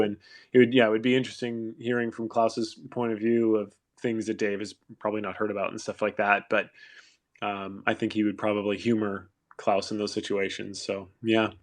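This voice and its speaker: Male host of a fan Q&A, easygoing and thoughtful, tends to circle back mid-sentence. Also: on the low side. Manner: thoughtful, rambling with restarts and soft laughs. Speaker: actor hosting a fan Q&A. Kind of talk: casual audio chat answering fan questions. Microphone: online audio room.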